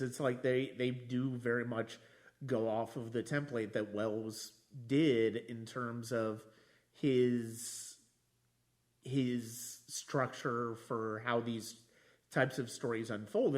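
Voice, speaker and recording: A man hosting a casual film discussion, thinking aloud in a halting way and repeating phrases. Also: mid-range voice. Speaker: podcast host.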